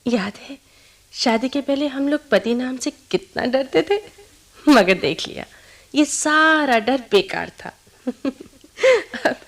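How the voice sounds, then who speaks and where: An excitable young Indian bride in a radio drama, gushing to her dearest friend. The voice is very high and girlish, swinging widely in pitch, with a teasing, giggly delivery. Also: heavily acted. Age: young adult. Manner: girlish, excitable, teasing, laughing through words. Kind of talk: Hindi radio play acting.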